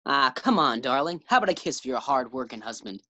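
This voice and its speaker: Casting: a woman doing an eager, bumbling young husband for a 1940s radio sitcom, very low, lively delivery, dry close sound. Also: fast, no echo, dull audio, heavily acted. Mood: playful. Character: young husband George, eager bank clerk.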